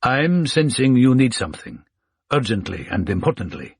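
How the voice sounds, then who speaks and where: A man voicing a blind older male Egyptian scholar who is wise and witty, speaking in courteous, measured, formal phrases. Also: mid-range voice, big pitch swings, darkish tone, breathy edge, no room sound, clean studio sound, full character voice. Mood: calm.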